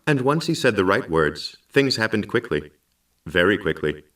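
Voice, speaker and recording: A man playing a calm, dutiful artificial intelligence who narrates a science fiction audio drama in a measured, formal and precise way. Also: lowish voice, noisy background. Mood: measured storytelling. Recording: slightly distant, music underneath. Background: music.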